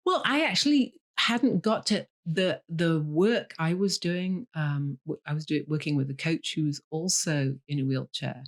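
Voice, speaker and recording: A woman's voice: sits low, a little slow, hardly any pauses. A woman from Leeds, a novelist answering interview questions candidly, with dry humour and frequent ums. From Leeds, Yorkshire, England. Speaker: guest, novelist. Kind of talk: interview over a call.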